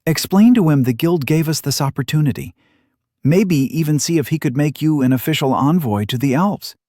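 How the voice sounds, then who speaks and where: A man's voice: on the high side, animated, brisk, warm tone, dry recording, studio quality, pitched above the performer's own voice. A man voicing an earnest, headstrong young noblewoman with an anxious edge in an audiobook. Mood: earnest, pressing. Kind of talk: audiobook character acting.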